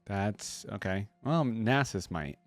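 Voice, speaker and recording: A man's voice: on the low side, animated, slow pace, faint music behind. A man giving casual reaction commentary as a host, tossing in wry, teasing asides.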